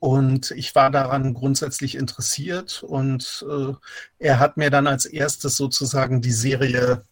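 Middle-aged man, medium pitch, hesitant with frequent filled pauses, casual interview guest, very dry recording. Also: flat delivery, dry recording. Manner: halting, hesitant delivery with many filled pauses. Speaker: interview guest, audio drama writer.

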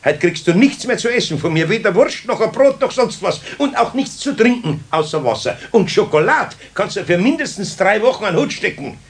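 Casting: gruff-mannered older Bavarian carpenter, radio play, animated scolding delivery, rough husky tone. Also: male voice, high-pitched, fairly quick, few pauses, exaggerated voice. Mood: exasperated. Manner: grumbling, scolding, down-to-earth. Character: grumbling, soft-hearted older master carpenter. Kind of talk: 1970s children's radio play acting.

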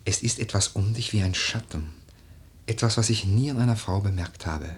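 An actor playing a brooding, eloquent, lordly widower in a literary radio play, with lyrical, deliberate, declamatory delivery. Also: male voice, on the low side, breathy edge. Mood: ardent, lyrical. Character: brooding, eloquent widower, poetic and lordly, drawn to a young woman.

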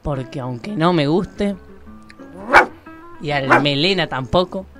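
A woman's voice: low voice, takes it slowly, loud music behind the voice. An actress playing a feisty, outspoken small-town woman who narrates a radio drama in colloquial, emphatic Argentine Spanish. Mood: confiding, indignant.

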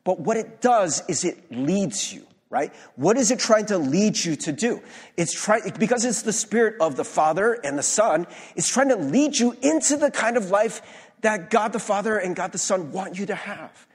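A male pastor giving a conversational sermon in a church, hoarse-voiced, informal and self-deprecating, with many fillers and restarts. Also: high-pitched, expressive, moderate pace. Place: church sanctuary. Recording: long reverb of a large hall.

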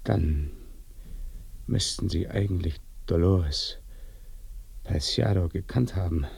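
Weary, creaky-voiced traveler. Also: male voice, low-pitched, heavily acted. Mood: weary, questioning. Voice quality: dark, creaky. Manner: halting, exhausted. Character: weary, shaken male traveler searching for his father. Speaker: actor in radio drama. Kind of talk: intimate radio play acting. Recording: faint noise, binaural radio recording.

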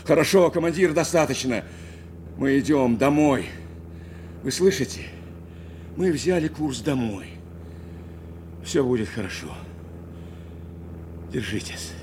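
An actor playing a steadfast, protective bomber navigator in a war radio drama, speaking with earnest insistence. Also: male voice, mid-range voice, expressive, slow, long pauses, a little mellow, slight background noise. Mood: urgent, reassuring. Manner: insistent, repeating short phrases. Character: steadfast male bomber navigator, urging and protective of his commander. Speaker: actor, also narrating in the first person.

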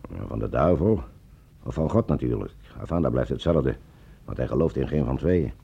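A gruff, ponderous captain in a radio drama. His deep voice is dark and raspy, and he muses and questions as he speaks. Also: expressive, moderate pace, highs cut off, exaggerated voice. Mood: puzzled, musing. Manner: musing, questioning.

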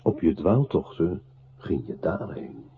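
An actor playing an intense, insinuating narrator in a radio drama, theatrical and at times dropping into hushed tones. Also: male voice, deep voice, big pitch swings, slow pace, husky, full character voice. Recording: muffled, noisy phone-like old radio recording.

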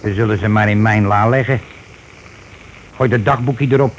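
Actor as scheming husband on hissy old radio. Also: male voice, on the low side, fairly even intonation, normal speed, natural voice, low-quality recording. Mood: conspiratorial. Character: scheming, irritable husband covering his tracks. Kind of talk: radio play acting.

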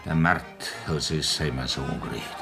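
An actor with a Bavarian accent playing a tipsy, sentimental farmer in a radio play. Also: male voice, a bass voice, normal speed, creaky, music in the background. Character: drunk old farmer mourning his friend. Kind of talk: 1960s radio play acting.

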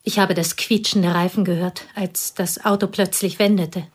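An actress playing a reserved piano teacher from a remote village in a radio drama, terse and dryly ironic. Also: female voice, on the low side, steady tone, moderate pace, husky. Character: reserved provincial female piano teacher, guarded and dry.

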